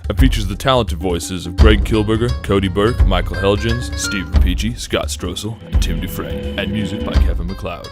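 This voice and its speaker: A man's voice: low-pitched, normal speed, hardly any pauses. A man reading scripted closing credits as the announcer of a radio drama. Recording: music under the voice.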